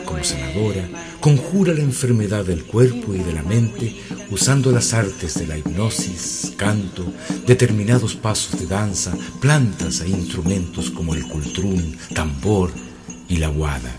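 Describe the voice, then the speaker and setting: A man's voice: deep voice, animated, unhurried, over music. A man narrating a radio drama of folk mystery tales as a solemn storyteller.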